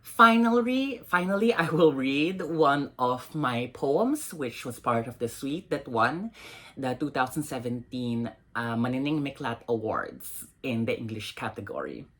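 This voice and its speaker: A woman reading her own poems aloud in a deliberate, measured way, with pauses between phrases. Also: extremely low pitch, sing-song, a bit bright. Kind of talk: dramatic poetry reading.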